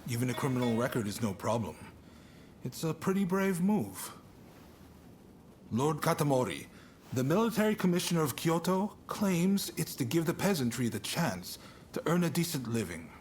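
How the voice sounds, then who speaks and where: An actor playing a shrewd Shogunate official in a video game, speaking in a casual, confiding way. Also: male voice, a little high, very animated, moderate pace, a little hiss or hum. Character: shrewd Shogunate official recruiting trusted agents. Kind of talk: video game cutscene acting.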